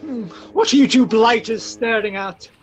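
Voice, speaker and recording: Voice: medium pitch, moderate pace, a little hiss or hum, natural voice. An actor playing a haughty, blustering British aristocrat with a British accent in an old-time radio drama. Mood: haughty, irritated. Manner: pompous, blustering, dismissive. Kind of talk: 1940s radio detective drama acting.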